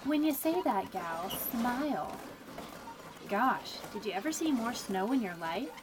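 Actress doing sassy young gal, high voice, slangy old radio drama, bit of noise. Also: female voice, big pitch swings, unhurried. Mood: teasing. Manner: slangy, teasing, playful. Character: brash, wisecracking young woman who loves hot songs.

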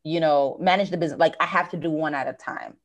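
Low-voiced woman business owner. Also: fast talker. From United States. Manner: thoughtful, with frequent fillers and restarts. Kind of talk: conversational interview.

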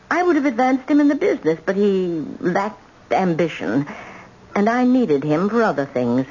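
A woman's voice: mid-range voice, darkish tone, natural voice. An actress playing a tough, proud older grandmother in an old-time radio drama, with dramatic, emphatic delivery. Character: tough, proud widowed grandmother confessing family tragedy. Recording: muffled, noisy old radio recording.

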